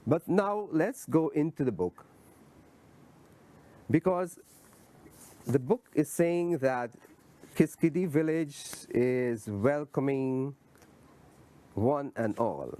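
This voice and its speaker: A man hosting an interview, asking questions deliberately and repeating his phrases for emphasis. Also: fairly high pitch, lively intonation, dark tone. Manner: deliberate, repeats phrases, many affirming murmurs. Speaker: interviewer, host.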